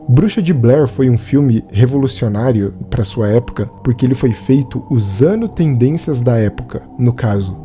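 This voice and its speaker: A man's voice: on the high side, warm tone. A man with a Brazilian accent giving a conversational scripted narration as a film reviewer. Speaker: video essayist and film reviewer.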